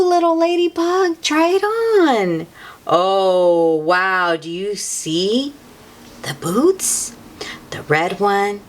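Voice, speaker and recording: A woman reading a picture book aloud to young children in an encouraging, sing-song manner, as the host. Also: fairly high pitch. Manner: animated, encouraging, sing-song talk addressed to small children.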